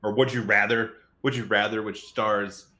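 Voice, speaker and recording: Male co-host, slightly deep with a sharp, bright edge, loose casual conversation, stop-and-start delivery. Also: level tone, normal speed. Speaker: podcast co-host. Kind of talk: casual chat.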